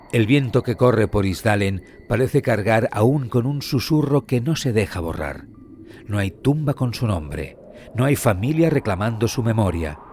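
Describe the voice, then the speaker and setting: A man narrating a scripted mystery story in a solemn, dramatic manner with deliberate pauses and a husky voice. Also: lowish voice, a little mellow. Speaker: podcast narrator. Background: background music.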